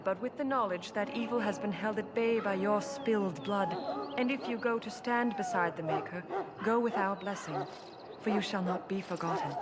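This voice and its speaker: An actor in a fantasy game playing a solemn preacher blessing soldiers with measured, ceremonial oratory. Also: mid-range voice, natural voice. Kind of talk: dramatic game sermon, formal oratory. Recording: game audio with loud background noise.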